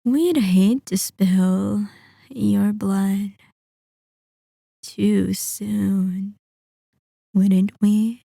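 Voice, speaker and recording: A woman voicing a centuries-old, sadistic and possessive vampire in an intimate ASMR roleplay, speaking in a deliberate, taunting, commanding manner. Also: medium pitch, fairly even intonation, creaky, dark tone, dry recording, studio quality, exaggerated voice. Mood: gloating, amused. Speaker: audio roleplay performer.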